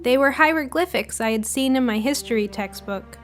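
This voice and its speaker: A woman's voice: a little high, normal speed. A woman reading a scripted first-person short story as a storyteller. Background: music.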